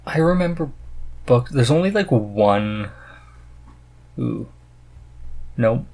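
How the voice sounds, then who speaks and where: A man hosting a podcast in casual chat, halting as he searches for words. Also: mid-range voice, expressive, vocal fry, darkish tone. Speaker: podcast host.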